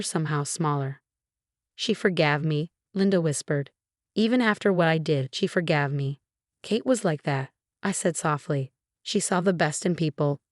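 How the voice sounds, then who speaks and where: Low-voiced woman narrating a story, dry recording. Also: no echo. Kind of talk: scripted first-person story narration.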